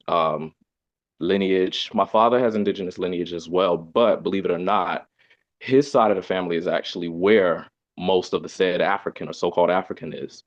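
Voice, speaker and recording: A man's voice: deep voice, no echo. A man speaking earnestly in a community discussion over a video call, explaining at length with ums and "you know". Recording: dull muffled video call audio.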